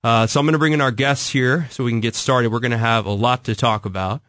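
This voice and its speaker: A man's voice: mid-range voice, steady tone, fast, fairly bright tone, dry recording, studio quality. An American man hosting an interview show in a conversational style, introducing guests and asking questions. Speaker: talk show host.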